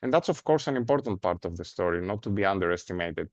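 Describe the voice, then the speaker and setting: A man with a Greek accent giving an academic seminar lecture. He speaks in a measured way, with frequent "uh" fillers and a rhetorical "no?" at the end of points. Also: sits low, expressive, dry recording. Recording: dry, dull lo-fi sound.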